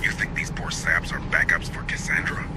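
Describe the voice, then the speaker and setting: A man playing a seasoned, steady and slightly sardonic military squad leader in a video game, commanding and wry. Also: deep voice, moderate pace, very noisy, close to an everyday voice. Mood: grave, reassuring. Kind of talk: video game dramatic dialogue.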